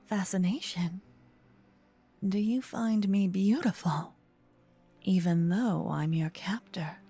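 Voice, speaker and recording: A woman voicing a gentle, possessive old female vampire in an ASMR roleplay, murmuring and whispering close to the microphone. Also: medium pitch, soft background music. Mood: seductive, musing. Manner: breathy, slow whispering and murmuring. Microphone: close binaural microphone.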